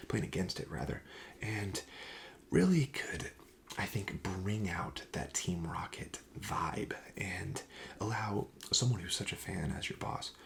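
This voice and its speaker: Male ASMR roleplayer as a shop clerk: low, creaky, half-whispered delivery, gently explaining the goods. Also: a little hiss or hum. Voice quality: breathy, often dropping to a whisper. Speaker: host playing a card shop clerk in a roleplay. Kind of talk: soft-spoken ASMR roleplay.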